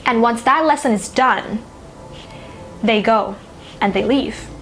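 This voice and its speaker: A woman's voice: a little high, slow, a bit bright, soft background music, low-quality recording. A woman whose first language is Thai, an astrologer musing in casual talk to camera, full of "you know" and "like".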